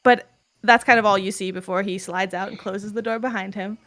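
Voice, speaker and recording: A woman's voice: medium pitch, fairly even intonation, a little fast, a bit bright. A woman narrating a tabletop game as game master, loose and full of fillers.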